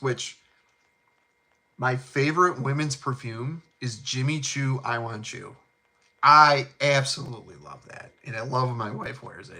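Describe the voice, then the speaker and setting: A man's voice: medium pitch, bright, forceful tone. A middle-aged man with a thick Chicago South Side accent, chatting casually about fragrances in a rambling, chummy way. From Chicago, USA. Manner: laid-back, rambling, chummy, with fillers and laughs. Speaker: fragrance channel host. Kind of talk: casual live chat.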